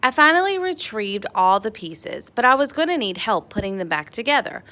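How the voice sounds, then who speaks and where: A woman narrates a picture book for children in a bright, forward voice. Her pitch moves in lively swings, and she leans into key words, stretching some out playfully for dramatic effect. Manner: animated, dramatic emphasis, playful drawn-out words. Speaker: storybook read-aloud narrator. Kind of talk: expressive children's picture book read-aloud.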